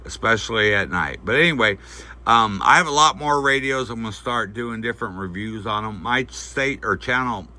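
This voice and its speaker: A man giving a casual, unscripted product demonstration, talking in a halting, folksy way as he thinks aloud. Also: lowish voice, pushed and bright. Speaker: host of a gear review channel. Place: indoors at home at night. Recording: faint background noise.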